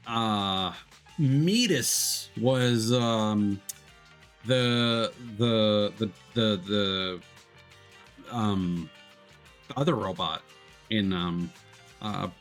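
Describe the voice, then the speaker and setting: A man's voice: fairly low pitch, lively intonation, very slow, long pauses, a bit bright. A man streaming a video game, chatting casually and reading the game's text aloud, often stumbling over repeated filler words. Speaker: VTuber streamer. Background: faint game music.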